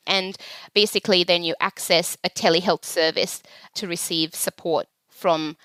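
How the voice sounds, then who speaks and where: Woman guest from Sri Lanka, thirties, articulate and earnest, low smooth voice. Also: steady tone, normal speed, sharp tone, no room sound. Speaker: podcast guest, charity chief executive. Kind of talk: conversational interview answers.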